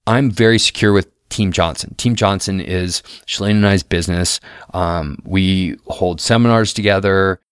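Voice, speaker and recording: Low smooth-voiced man giving earnest advice. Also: no room sound, clean studio sound. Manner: earnest, confessional, repeats words as he restarts. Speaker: podcast guest host. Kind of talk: casual advice talk.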